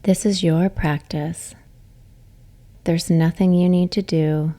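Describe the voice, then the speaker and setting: A woman yoga nidra teacher guiding a relaxation meditation in a soothing, gentle, unhurried way, with soft, breathy phrase endings. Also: lowish voice, fairly even intonation.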